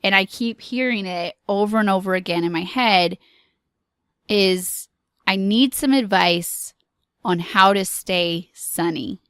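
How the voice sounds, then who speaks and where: Woman podcast host, mid pitch, unhurried, sincere and encouraging, dry close mic. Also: fairly bright tone, clean tone, no room sound. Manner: earnest, encouraging, slow with pauses and filler ums. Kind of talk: solo podcast monologue.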